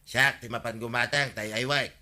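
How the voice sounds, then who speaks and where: A man's voice: medium pitch, fairly even intonation, moderate pace, pushed and bright. An actor playing a chatty, cheeky village man with a Filipino accent, teasing and exclamatory in a radio comedy drama. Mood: grumbling.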